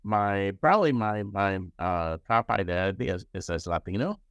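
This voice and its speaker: This is an older man from Cuba with a very low, deep voice. His tone is smooth and resonant, recorded dry and close with no room echo. He speaks in a halting, casual way, with frequent fillers and restarts. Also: expressive. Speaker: child psychiatrist, professor. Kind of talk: casual personal interview.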